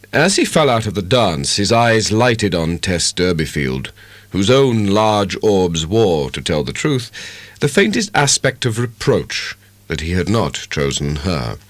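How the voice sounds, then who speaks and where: A man giving a measured, expressive dramatic narration of a literary audiobook. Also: low voice, dry recording.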